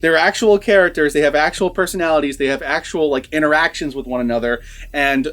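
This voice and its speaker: Casual man with a slightly high voice. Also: fast, a bit bright. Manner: informal, conversational. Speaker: movie review co-host. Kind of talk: casual chat, film review.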